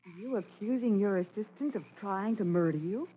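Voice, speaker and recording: Woman playing husky, inquisitive detective's assistant. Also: mid-range voice, brisk, natural voice. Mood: surprised, questioning. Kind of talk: old-time radio drama acting. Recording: muffled vintage radio recording.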